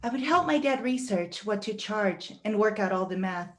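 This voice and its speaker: A woman reading a first-person monologue aloud as a guest reader, with a deliberate, earnest storytelling delivery. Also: mid-range voice, animated.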